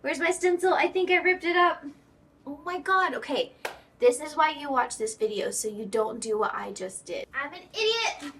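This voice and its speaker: A woman's voice: high-pitched, animated, normal speed, fairly bright tone. Chatty woman filmed from across her workshop. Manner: chatty, talks to herself while working, occasional whispers. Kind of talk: casual workshop vlog. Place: home workshop. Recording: distant camera mic with faint room noise.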